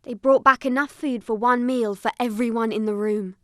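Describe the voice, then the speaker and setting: A woman's voice: on the high side, fairly even intonation, normal speed, a little mellow, no room sound, clean studio sound, natural voice. A woman playing a young girl survivor, giving dramatised first-person narration of an attack. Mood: sombre recounting.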